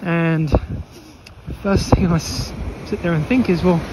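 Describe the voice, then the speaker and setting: Male running coach vlogging outdoors, slightly high voice, emphatic and repetitive delivery, clear wind noise on the mic. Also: steady tone, takes it slowly. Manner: emphatic, repeats phrases, asks rhetorical questions. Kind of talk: opinionated off-the-cuff advice monologue to camera. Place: outdoors on a beach walk. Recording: windy, noisy outdoor mic, rumbling background. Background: wind on the microphone, ambient noise.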